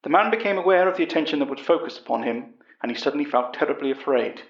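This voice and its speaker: A male narrator tells a dramatic story in deliberate, literary style. His voice is creaky yet slightly high in pitch. The recording is echoey. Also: lively intonation. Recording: muffled, echoey room sound.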